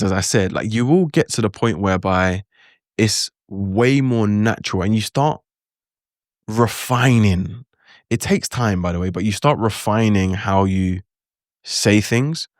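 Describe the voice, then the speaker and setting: A young man talks casually about learning a language, chatty and animated, acting out his own reactions. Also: fairly low pitch, no echo, clean studio sound. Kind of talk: casual talking-head advice.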